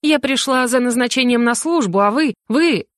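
A woman's voice: a little high, normal speed, no echo, studio quality, pitched above the performer's own voice, lightly acted. A woman voicing a proud, easily flustered young female mage in an audiobook, speaking with emphatic indignation. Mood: angry, indignant. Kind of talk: audiobook character acting.

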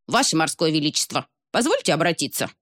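A woman as a fussy, formal old male albatross nanny; deferential, precise, lowish voice. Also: very animated, fast, fairly bright tone, dry recording, clean studio sound, exaggerated voice.

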